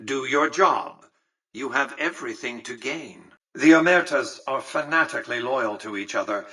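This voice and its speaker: An actor playing a calculating, condescending old tycoon in a video game, speaking in formal, precise, pompous sentences. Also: male voice, medium pitch, moderate pace, pushed and bright, slightly rough, recorded from a distance, poor audio. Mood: stern, condescending. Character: imperious, calculating old male tycoon ruling a city. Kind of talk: scripted video game dialogue.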